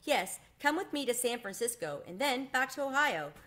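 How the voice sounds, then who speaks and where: A woman's voice: a little high, sing-song, normal speed, bright, forceful tone, voice pushed up. A woman voicing a friendly, generous schoolboy in an earnest, coaxing way for a children's storybook.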